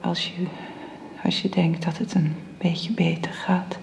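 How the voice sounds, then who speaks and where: An actress playing a frail, weary, kindly bedridden lady in a radio drama, speaking in a breathy near-whisper. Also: lowish voice, level tone, slow pace. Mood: weary, tender. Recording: radio drama recording with faint noise.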